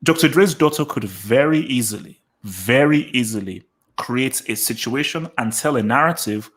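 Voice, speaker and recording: A man hosting a casual podcast chat, arguing his point with animated emphasis and lots of repeated words. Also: medium pitch, moderate pace. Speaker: podcast host.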